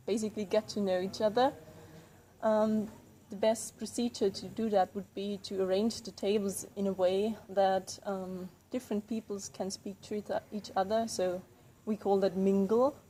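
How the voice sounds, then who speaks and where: A woman's voice: mid-range voice, mellow, slight background noise, lightly acted. A woman playing an earnest young newcomer in a classroom role-play, speaking hesitantly with many fillers. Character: young woman newcomer, eager community volunteer.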